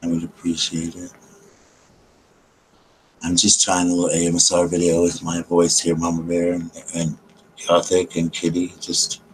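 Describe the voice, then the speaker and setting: Deep, low-voiced man in soft chat. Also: monotone, slight background noise, poor audio. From South Carolina. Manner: talks low and slow, many ums, greets viewers tenderly. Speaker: host of a late-night live chat stream. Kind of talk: soft late-night ASMR chat with viewers.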